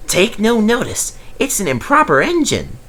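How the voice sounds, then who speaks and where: Guy putting on a know it all little train, higher voice, sharp and superior, kids story. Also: huge pitch swings, moderate pace, exaggerated voice. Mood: smug. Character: knowing, superior male small engine explaining things.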